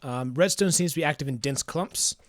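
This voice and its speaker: A man chatting casually on a livestream, reading chat aloud in a smooth, soothing voice, musing and hesitant with fillers. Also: fairly high pitch, fairly quick, no room sound.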